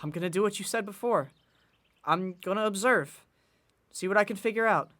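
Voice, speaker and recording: A man's voice: high-pitched, a little fast, bright, forceful tone. An actor playing an earnest working-class teenage boy in a nostalgic audio drama, hesitant and folksy in his slang. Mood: determined.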